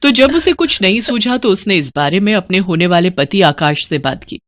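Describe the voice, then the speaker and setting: A woman's voice: mid-range voice, expressive, moderate pace, dry recording. A woman narrating a scripted moral story as a storyteller.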